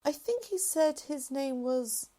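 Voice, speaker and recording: A woman's voice: high voice, lively intonation, takes it slowly, mellow. A woman playing a hesitant, mild-mannered general in a Minecraft roleplay, tentative and questioning. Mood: puzzled. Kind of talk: hobby Minecraft roleplay acting.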